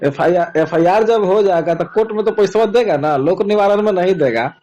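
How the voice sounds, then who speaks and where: A man's voice: sits high, normal speed, mellow. A man with a Bihari accent talking casually on a recorded phone call, explaining things and repeating phrases for emphasis.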